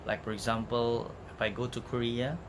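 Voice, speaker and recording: A man's voice: mid-range voice, little pitch movement, normal speed, a little hiss or hum. A man with a Malaysian English accent who gives travel tips in a casual, unscripted talk to camera. He is chatty and rambling, and he repeats words while he searches for them. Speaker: travel vlogger. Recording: loud guitar music underneath.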